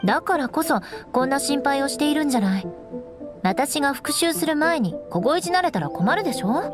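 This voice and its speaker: A woman's voice: high-pitched, lively intonation, fairly quick, music in the background. A young woman acting a proud, composed noblewoman knight in an anime game, strict but caring, with elegant, instructing delivery. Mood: haughty, caring. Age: young adult. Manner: poised, elegant, haughty, instructing.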